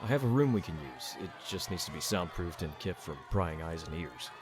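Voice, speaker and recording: A man playing a calm, fatherly bartender and former royal guard in a fantasy audio drama, measured and easygoing. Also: low-pitched, over music, a crowd in the background. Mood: steady, serious. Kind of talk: amateur fantasy audio roleplay acting.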